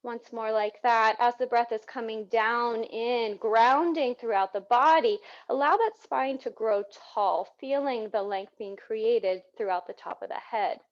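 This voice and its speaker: A woman with a fairly high voice leads a yoga class through breath awareness. She speaks gently and soothingly, letting her phrases unfold without hurry. The recording is somewhat dull and lo-fi. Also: unhurried, hardly any pauses. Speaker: yoga teacher. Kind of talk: guided yoga breathing instruction. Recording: dull, muffled lo-fi sound.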